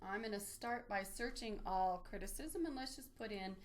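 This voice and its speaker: A woman's voice: mid-range voice, moderate pace. A woman giving an informal, unscripted instructional walkthrough, explaining things step by step as she demonstrates on screen. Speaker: librarian giving a database tutorial.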